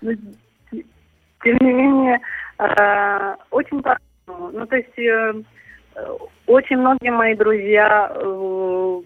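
A woman's voice: fairly high pitch, slow, pauses often, no echo, faint music behind. A woman from Ukraine answering interview questions in casual, hesitant talk full of fillers and restarts. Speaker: interview guest. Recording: tinny phone line.

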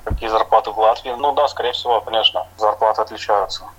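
A middle-aged man from Latvia, a chef, answering interview questions in an unhurried, plain way with soft hedging fillers. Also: on the low side, fairly even intonation, muffled recording.

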